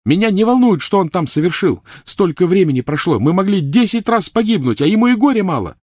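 A man voicing a furious, shrill teenage girl witch in an audiobook reading. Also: very animated, a little fast, a little mellow, no echo, studio quality. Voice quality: shrill, screaming.

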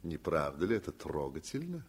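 A man voicing a genteel landowner who speaks softly with a smile, kind in a condescending way. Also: extremely low pitch, wildly swooping pitch, a little fast, breathy edge, pitched below the performer's own voice, heavily acted. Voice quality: soft, smiling.

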